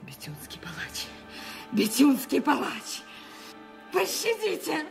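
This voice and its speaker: A cornered evil countess in a radio play, slow dramatic delivery, voice all over the place, music behind. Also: female voice, high voice, wildly swooping pitch, gravelly, exaggerated voice. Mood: terrified. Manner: theatrical, agitated, gasping exclamations. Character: desperate, defiant villainous noblewoman pleading for her life. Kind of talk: 1990s Russian radio drama acting.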